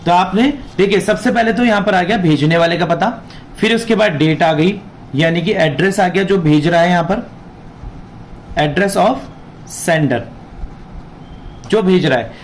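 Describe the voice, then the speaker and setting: A man's voice: high voice, a little slow, long pauses, slight background noise. A man with a sore throat, teaching an informal exam lesson, explaining and repeating key points. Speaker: law teacher running an online class. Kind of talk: informal explanatory exam lesson, Hindi mixed with English terms.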